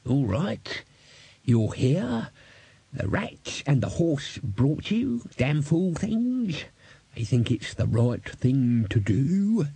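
Man doing a grumpy old male servant, cranky, high strained voice, slow drawling, swooping pitch, dry close sound. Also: sing-song, creaky, warm tone, breathy edge, no echo, exaggerated voice. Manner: bawling, quarrelsome, slow drawn-out. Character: cranky old male manservant, gruff, suspicious.

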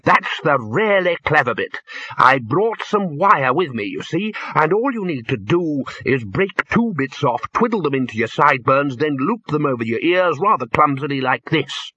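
A man's voice: a little high, very animated, unhurried, pushed and bright, breathy edge, dry recording, pitched above the performer's own voice, full character voice. A man voicing an eager wizard lecturer in a comic fantasy audiobook, smugly explaining his clever ideas. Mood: smugly triumphant. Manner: pleased with himself, explanatory.

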